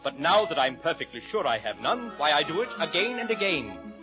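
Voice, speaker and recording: A man's voice: on the high side, big pitch swings, fairly quick, voice pushed up. A man voicing a cheerful, boastful, eccentric old father, reciting storybook verse theatrically. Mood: breezy, boastful. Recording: dull lo-fi old soundtrack, faint music.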